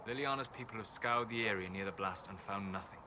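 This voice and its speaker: Reserved, scholarly elven mage, played by a man for a fantasy game: low, formal, thoughtful delivery. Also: lightly acted. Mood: grave, measured. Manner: measured, formal, thoughtful phrasing. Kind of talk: video game dialogue acting. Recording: clear ambient noise behind game dialogue.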